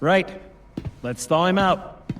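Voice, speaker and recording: A man voicing a brisk cryo bay technician in a game cutscene, making quick procedural callouts. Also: high voice, fairly bright tone, faint music behind. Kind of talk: video game cutscene acting.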